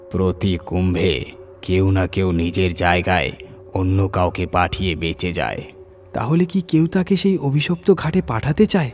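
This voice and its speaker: A man reading a scripted horror story dramatically, with suspenseful pauses and emphasis. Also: fairly low pitch, a little mellow, slight background noise. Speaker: horror story reader and channel host.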